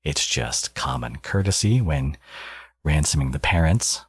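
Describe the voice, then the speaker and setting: Guy acting as cocky villain daddy, real deep breathy voice, playful asmr roleplay murmur, swoops around a lot. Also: lively intonation, measured pace, no echo, clean studio sound, heavily acted. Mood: teasing, reassuring. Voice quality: breathy, gravelly low voice. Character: smug, teasing male supervillain, doting new father. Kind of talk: intimate ASMR audio roleplay.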